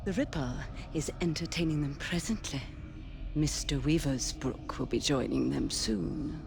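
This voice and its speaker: An imperious lady villain in a game cutscene. She sounds cold, haughty and formal. Music runs clearly behind her. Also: sits low, unhurried. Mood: coldly haughty. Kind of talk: video game cutscene acting.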